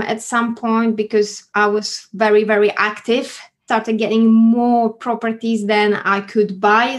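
A woman with a Polish accent talks through her own story in a relaxed, chatty way. She speaks at a middle pitch, often pausing on fillers and restarting her thoughts. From Poland. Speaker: guest, property investor. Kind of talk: casual interview introduction.

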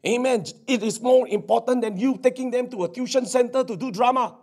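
A middle-aged man with a Singaporean English accent, preaching and praying fervently, his voice hoarse from preaching. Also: very high-pitched, brisk, a little mellow. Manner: fervent, repetitive, peppered with "Amen". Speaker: pastor. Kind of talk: sermon with prayer. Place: church service.

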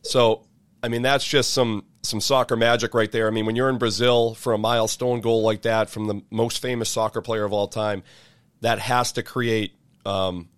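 A man's voice: lowish voice, little pitch movement, normal speed, clean tone, no echo. A man hosting an interview, asking enthusiastic, leading questions. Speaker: interview host.

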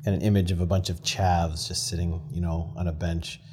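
A man with a slightly low voice co-hosts a podcast. He chips in casually with offhand banter. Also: level tone, a little fast, mellow, slightly rough.

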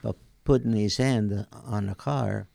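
Gravelly elderly Italian man, slow and halting. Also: fairly low pitch, steady tone, dark tone, dry recording. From southern Italy. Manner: halting, hesitant English with broken phrasing. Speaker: podcast guest, retired tailor. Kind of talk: recounting life story in an interview.

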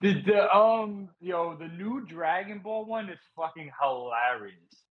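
A man co-hosting a casual podcast, animated and joking, often stammering and repeating words. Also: high-pitched, unhurried, narrow, tinny audio. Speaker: podcast co-host.

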